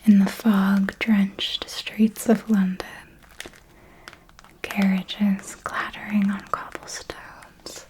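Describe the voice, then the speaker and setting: A centuries-old female vampire mentor, played by a woman, whispers in an ASMR roleplay. She draws her phrases out very slowly and deliberately, doting on her listener with patient, amused, predatory menace. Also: mid-range voice, lightly acted, rough recording. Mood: nostalgic. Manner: slow, deliberate, hushed, doting yet menacing.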